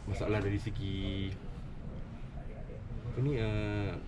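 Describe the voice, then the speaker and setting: A Malaysian man with a fairly low voice talks through technical points in a casual way. He hesitates often and searches for words as he explains. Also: fairly even intonation, takes it slowly, over a noisy crowd. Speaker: audio specialist. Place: small cluttered office, indoors.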